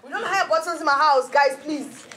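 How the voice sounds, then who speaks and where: A woman's voice: sits high, moderate pace, bright, forceful tone. A woman in her early thirties with a South African accent, chatting casually as a guest in an emphatic, exclamatory way. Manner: emphatic, exclamatory, repeats phrases. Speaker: podcast guest. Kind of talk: casual chat. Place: indoor lounge, on a sofa. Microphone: handheld microphone.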